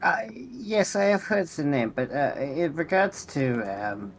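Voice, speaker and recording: A man voicing a polite, earnest robot adventurer with lost memory in a tabletop game, speaking formally and haltingly. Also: fairly high pitch, very animated, pitched above the performer's own voice. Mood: hesitant, courteous.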